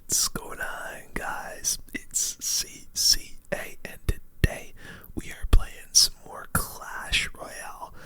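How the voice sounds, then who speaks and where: Whispering ASMR game streamer. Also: slow. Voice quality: soft unvoiced whisper. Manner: casual, conversational, slangy. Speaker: video game streamer and host. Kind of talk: ASMR whispered gameplay commentary.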